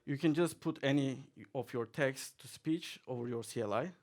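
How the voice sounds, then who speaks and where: A man's voice: medium pitch, normal speed, a little mellow. A man giving a chatty conference talk to an audience, with many fillers and the odd chuckle. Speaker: conference speaker. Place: conference hall, audience present.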